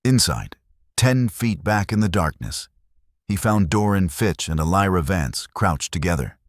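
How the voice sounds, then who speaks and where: A man's voice: low voice, lively intonation, unhurried, no echo, studio quality. A man narrating a dramatic scripted Western story.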